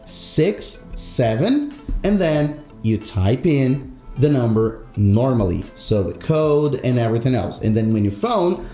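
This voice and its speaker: A man's voice: medium pitch, big pitch swings, takes it slowly, warm tone, reverberant, faint music behind. A man hosting an instructional tech tutorial, explaining step by step in an emphatic, repetitive way. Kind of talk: instructional screen-recorded tutorial narration.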